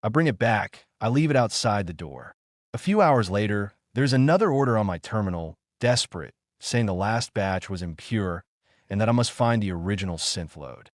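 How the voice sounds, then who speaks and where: A man's voice: lowish voice, lively intonation, moderate pace, no echo, clean studio sound, close to an everyday voice. A man voicing an anxious android butler in an audiobook, formal, deferential and urgent. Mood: distressed, insistent.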